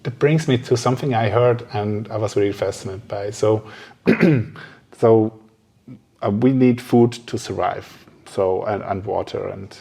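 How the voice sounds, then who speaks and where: A man's voice: on the low side, unhurried, creaky, dark tone, slightly rough. A man hosting a casual podcast conversation, speaking hesitantly as he searches for his words. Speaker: podcast host.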